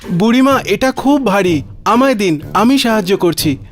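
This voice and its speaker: A man's voice: sits high, lively intonation, normal speed, soft background music. A man voicing a poor, humble younger brother in a cartoon fairy tale, speaking earnestly and respectfully. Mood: helpful. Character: poor, humble, helpful younger brother, desperate but polite.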